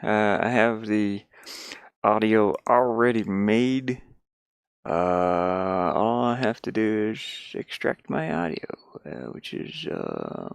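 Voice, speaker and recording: A man's voice: lowish voice, animated, slow pace, vocal fry, smooth, dry recording. A man casually thinking aloud as he works on a game, muttering to himself in short fragments. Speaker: hobby game developer sharing a work session. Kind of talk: casual think-aloud screen commentary while working.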